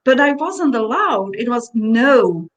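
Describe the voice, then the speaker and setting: Slow-paced, higher-voiced woman from Belgium. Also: very animated. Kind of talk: reflective spiritual conversation.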